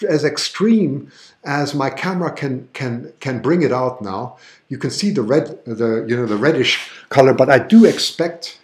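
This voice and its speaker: A man with a medium-pitched voice and a blended international accent from a German upbringing, hosting a live stream outdoors at night. He talks in an eager, chatty way, often repeating and restarting words. The sound carries a roomy echo. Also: darkish tone. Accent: mixed international with German roots. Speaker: livestream host showing an eclipse. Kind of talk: live commentary.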